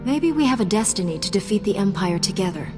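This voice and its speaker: A woman playing a calm, resolute Jedi knight in a video game, speaking with earnest firmness. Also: mid-range voice, quick pace, natural voice. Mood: firm. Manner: composed, earnest, firm. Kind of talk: scripted game dialogue. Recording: music behind the voice.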